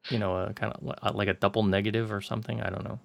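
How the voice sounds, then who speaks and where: Low, gravelly man, casually wry. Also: dry recording. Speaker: co-host. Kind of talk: casual chat, running movie commentary.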